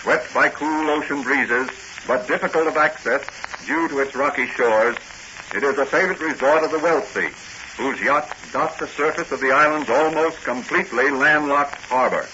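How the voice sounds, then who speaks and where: A male American announcer narrates in 1940s radio style. His voice is bright and forceful, on a dull recording with faint noise. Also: mid-range voice, moderate pace.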